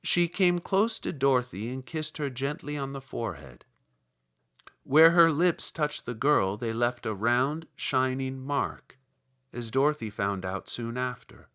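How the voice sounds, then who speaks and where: A man reading a children's classic aloud as narrator, expressive and unhurried. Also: mid-range voice, clear, resonant tone. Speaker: audiobook reader. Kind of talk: scripted storybook narration.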